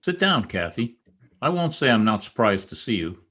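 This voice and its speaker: Guy playing a bitter brooding lover out for revenge, lowish voice, formal intense old radio drama style. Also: big pitch swings, normal speed, a bit bright, breathy edge, soft background music, exaggerated voice. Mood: cold, vengeful. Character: brooding, bitter, vengeful spurned male lover. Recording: remote video-call recording.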